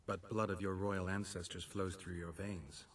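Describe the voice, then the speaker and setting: A male actor playing a terse, determined monster hunter in a fantasy video game, blunt and gravelly. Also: low-pitched, flat delivery, normal speed, distant mic. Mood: terse, insistent. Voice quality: gravelly, husky.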